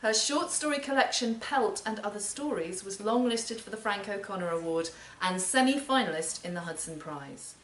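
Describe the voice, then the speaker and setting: A woman's voice: medium pitch, expressive, normal speed, hardly any pauses. Distant, echoey woman introducing a literary reading. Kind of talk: scripted spoken introduction at a literary event. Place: performance space in a library, live event. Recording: roomy, distant live recording with noise.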